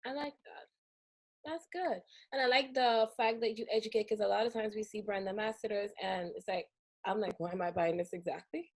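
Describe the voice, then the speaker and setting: A woman's voice: medium pitch, steady tone, fairly quick. A woman from Guyana hosting an interview, chatting casually and animatedly and laughing often. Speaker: podcast host.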